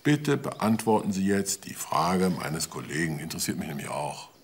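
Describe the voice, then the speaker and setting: A wry detective, lively pitch. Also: male voice, normal speed, a little hiss or hum. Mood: dry, insistent. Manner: dry, polite, probing. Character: wry, persistent male police detective. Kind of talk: German TV crime drama acting.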